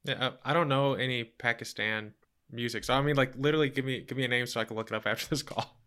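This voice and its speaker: A man's voice: mid-range voice, fairly even intonation, quick pace, bright, forceful tone. A man interviewing on a call in an easygoing way, with many fillers like "like" and light laughs. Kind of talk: casual conversation on a call.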